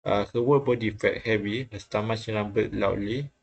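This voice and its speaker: A man reading visual novel text aloud in a halting way, often stumbling and repeating phrases. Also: lowish voice, fairly even intonation, gravelly, breathy edge. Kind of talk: halting read-aloud narration of game text.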